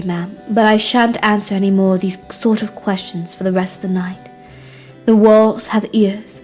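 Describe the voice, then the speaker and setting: A woman voicing a resigned, formal, cryptic servant in amateur period drama, hushed and measured. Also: medium pitch, lightly acted. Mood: hushed warning.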